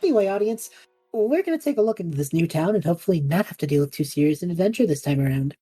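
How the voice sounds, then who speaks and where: A woman playing a cocky, cheeky young male adventurer who talks in a flippant, chatty, excitable way in a fantasy audio drama. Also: lowish voice, very fast, slightly rough, faint music behind. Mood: showman patter.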